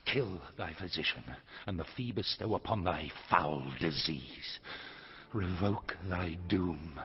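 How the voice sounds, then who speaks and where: A man's voice: deep voice, slow. An actor playing a blunt, loyal nobleman, bold and earnest, declaiming in classical Shakespearean stage style. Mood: angry, defiant. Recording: muffled hall reverb, faint music.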